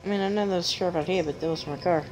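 A woman with a deep voice, chatting casually as she plays a game on stream, joking at herself and humming while she searches. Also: fairly quick, background noise. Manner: chatty, self-mocking, giggly, hums while searching. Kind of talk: casual gameplay commentary.

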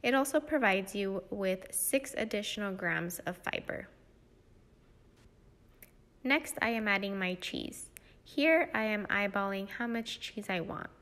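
A woman's voice: medium pitch, animated, a little slow, a bit bright. A young woman explaining a cooking demonstration step by step, in an instructional style. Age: young adult. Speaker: cooking demo host, health educator.

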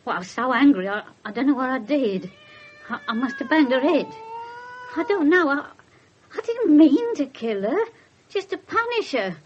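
An actress playing an aggrieved, defensive English spinster in a radio drama, speaking haltingly. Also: female voice, sits high, sing-song, slight background noise. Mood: halting confession. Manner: halting, faltering. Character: aggrieved, defensive cat-owning spinster confessing to violence. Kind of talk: 1970s British radio drama acting. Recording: muffled 1970s radio broadcast.

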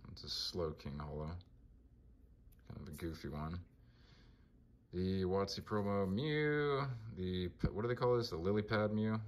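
A man with a monotone voice casually talking through his card collection, mumbling names as he flips through binders. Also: deep voice. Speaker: collector vlogger.

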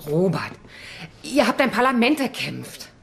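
A woman's voice: medium pitch, very animated, unhurried, vocal fry. A woman playing an earnest, encouraging wife in a historical docudrama. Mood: earnest, reassuring.

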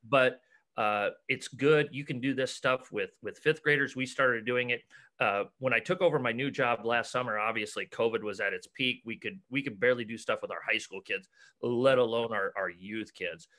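Middle-aged American male coach, forceful bright tone, conversational, stop-and-start delivery with repeated words. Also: medium pitch, normal speed. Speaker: basketball coach and clinic organizer. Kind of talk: casual video-call interview. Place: cluttered room at home, on a video call. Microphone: video call.

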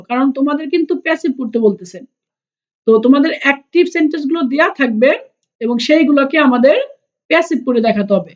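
High-voiced woman teaching. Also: a little mellow, lo-fi sound. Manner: instructional, step by step, repeats key terms. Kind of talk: explanatory grammar lesson for school students.

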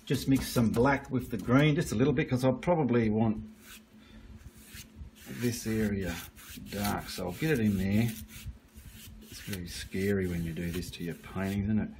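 A man's voice: on the low side, moderate pace, pauses often, a little mellow, slight background noise. A man giving a casual, blunt painting tutorial while he works at an easel, with the odd mild swear.

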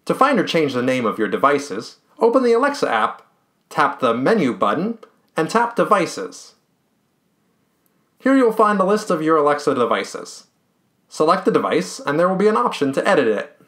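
A man in his thirties hosting a tech tutorial, speaking upbeat and clear straight to the camera in a small room at home. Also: fairly high pitch, very animated, moderate pace, fairly bright tone. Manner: upbeat, clear presenter delivery. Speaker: tech channel host. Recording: roomy echo.

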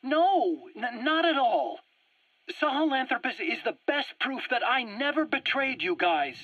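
A man's voice: extremely high pitch, sing-song, a little slow, bright, forceful tone, dry recording, heavily acted. A man acting a proud, anxious scientist in a video game, earnestly explaining and defending himself. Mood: pleading. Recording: dull, dry radio-like cassette recording.

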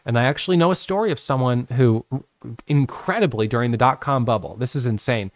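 A man in his late thirties giving a casual whiteboard lesson on trading from his home office, talking with his hands into a boom-arm studio mic. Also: fairly quick, dry recording. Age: 30s. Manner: animated, talks with his hands, drawing on a whiteboard. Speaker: trading educator. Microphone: studio microphone on a boom arm.

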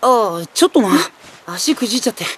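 Cocky high-voiced anime boy. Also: lively intonation, quick pace, slightly rough. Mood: sheepish, kind. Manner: boyish, casual, confident swagger. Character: cocky, friendly boy inventor, slightly older best friend. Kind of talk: anime voice acting. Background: music.

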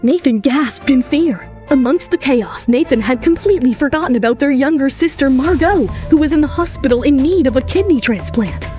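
Female narrator, high voice, emphatic dramatic storytelling, music underneath. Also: lively intonation, brisk, few pauses, a little mellow, highs cut off. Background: dramatic background music.

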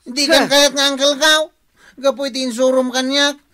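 A man's voice: very high-pitched, level tone, moderate pace. A man playing a gullible, plaintive, lovesick young man with an Ilocano accent in a comedy radio drama. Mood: whining, upset.

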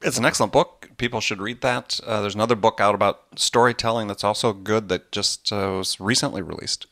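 A man's voice: on the low side, fairly even intonation, brisk, fairly bright tone, no echo, studio quality. A man speaking as a podcast host, explaining things conversationally in a rambling way with frequent asides. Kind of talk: conversational book discussion on a podcast.

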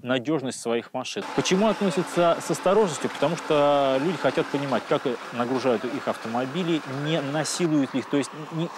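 A man in his forties, a test expert explaining on camera with careful, pausing phrasing, recorded outdoors on a lapel mic. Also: fairly high pitch, noisy background. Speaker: car endurance test expert. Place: outdoors on an open tarmac test track.

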